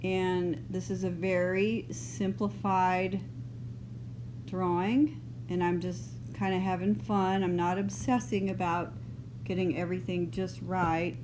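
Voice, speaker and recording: A woman with a soft Texas accent, smoothed by years up north, walks students through a drawing. She speaks slowly and loosely, a little low in pitch. Steady hiss and noise sit under the recording. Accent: mild Texas, partly northern. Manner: relaxed, unhurried, loose and chatty. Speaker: art teacher. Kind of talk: drawing lesson, thinking aloud. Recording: noisy home recording.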